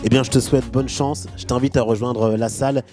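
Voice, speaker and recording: Male host, brisk, music behind. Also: mid-range voice, steady tone. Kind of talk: presenting and interviewing.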